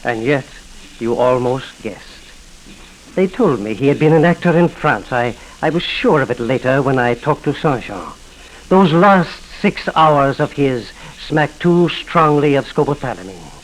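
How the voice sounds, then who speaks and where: An actor playing an earnest, scholarly professor-detective who speaks in an articulate, theatrical way, in a 1940s radio drama. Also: male voice, mid-range voice, animated, measured pace, lightly acted, low-quality recording. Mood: probing, deductive. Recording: vintage 1940s radio broadcast.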